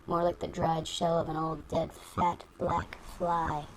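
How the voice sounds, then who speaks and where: Woman playing a low-voiced, knowing noir femme fatale. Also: little pitch movement, measured pace, a little hiss or hum. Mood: wry. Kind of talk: noir detective audio drama dialogue.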